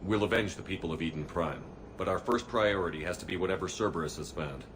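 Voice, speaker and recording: A man's voice: low voice, fast talker, a bit bright, slight background noise. An actor playing a hardened male human commander, giving clipped, matter-of-fact orders in a game cutscene. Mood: steady. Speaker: military commander. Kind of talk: video game cutscene acting.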